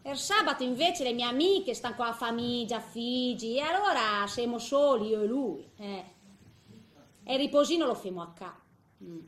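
High-voiced old Ligurian woman, roomy sound. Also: expressive, normal speed, fairly bright tone. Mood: homely, proud. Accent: Ligurian Italian. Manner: chatty, homely, hesitant storytelling with little interjections. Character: tender, chatty eighty-year-old Italian woman in love. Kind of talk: theatrical comic monologue.

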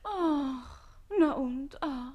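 A quick-tongued lady's maid in a theatrical radio play. She is pert and tart, a spirited bride-to-be with expressive delivery. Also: high voice, dull audio. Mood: teasing, surprised. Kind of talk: theatrical German radio drama acting.

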